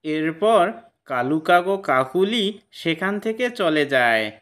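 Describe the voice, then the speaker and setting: Lively, slow-paced cartoon story narrator. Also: mid-range voice. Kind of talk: scripted children's story narration.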